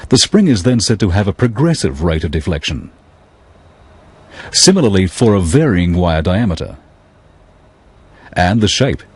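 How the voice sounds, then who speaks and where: A man narrates a technical lesson in a deep, low voice that is unusually smooth and resonant. His delivery is measured and explanatory, and his pitch moves expressively rather than staying flat.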